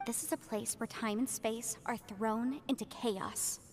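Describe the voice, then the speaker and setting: An actress playing a composed young woman strategist in a video game, speaking in an earnest, deliberate, analytical way. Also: on the high side, a little hiss or hum. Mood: pondering, grave. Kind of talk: video game cutscene acting.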